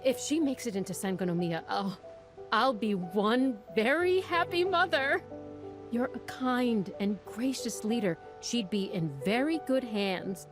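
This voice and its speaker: A woman's voice: mid-range voice, close to an everyday voice. A woman as a gushing, chatty village mother. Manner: gushing, rambling, flattering. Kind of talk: video game voice acting.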